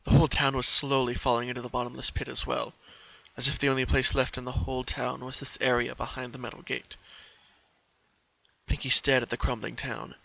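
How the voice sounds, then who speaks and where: A male narrator gives a dramatic reading of a story. His voice is mid-pitched, bright and intense, captured on a somewhat dull, lo-fi home recording. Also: flat delivery, fairly quick, creaky. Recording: slightly muffled lo-fi home recording.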